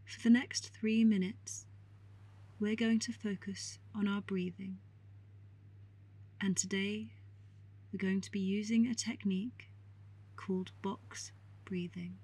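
A woman guiding a meditation in a calm, soothing, measured way with long pauses and a soft, breathy voice. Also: medium pitch. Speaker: meditation guide. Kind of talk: guided meditation narration.

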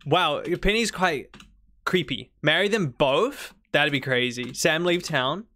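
Young kiwi guy streaming a farming game, highish voice, goofy back-and-forth with chat. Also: lively intonation, slow pace, bright, forceful tone. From New Zealand. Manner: playful, jumps to high squeaky protests when teased.

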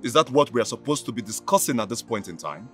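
An actor playing an impatient, resentful fiancé with a Nigerian accent, stern and emphatic, in a film drama. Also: male voice, medium pitch, big pitch swings, fast, faint music behind, close to an everyday voice. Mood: annoyed.

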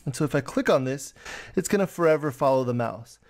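A man's voice: mid-range voice, lively intonation, brisk, darkish tone. A man teaching a coding lesson as a voice-over on a screen recording, explaining patiently step by step. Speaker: computer science teacher.

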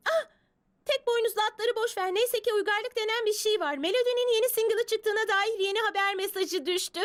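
Very high, theatrical cartoon girl. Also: brisk. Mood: breezy. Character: dramatic, fashion-loving young girl. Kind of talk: animated children's cartoon dub.

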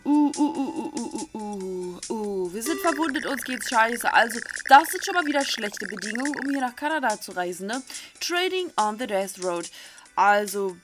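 A woman's voice: fairly high pitch, fairly bright tone. A middle-aged German woman giving chatty, candid, coarse-mouthed commentary on a game and reading its text aloud into a desk microphone. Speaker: gaming video host. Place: indoors, small home recording setup. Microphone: desk microphone close to the mouth. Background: game music.

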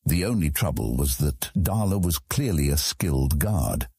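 A man with a husky voice reading scripted fantasy story narration as an audiobook narrator. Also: extremely low pitch, lively intonation, normal speed, warm tone, no room sound.

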